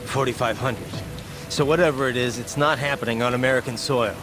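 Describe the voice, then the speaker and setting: A man's voice: mid-range voice, a little fast, natural voice. An actor playing a terse male cyborg mercenary who speaks in clipped, decisive commands. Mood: determined. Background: music.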